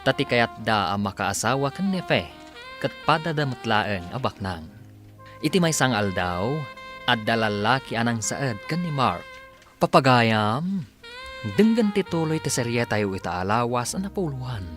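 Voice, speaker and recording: A man's voice: medium pitch, sing-song, measured pace, a bit bright. Male radio drama announcer, Filipino accent, theatrical scripted read with big inflections over music.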